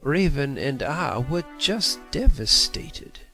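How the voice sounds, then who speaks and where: An actor as a scornful, impatient male schemer in an audio soap. He talks unhurriedly with a wide swing in pitch, over music. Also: sing-song, slow, a bit bright, heavily acted. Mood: dry scorn. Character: tense, scornful male schemer, big brother and husband. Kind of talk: audio drama acting.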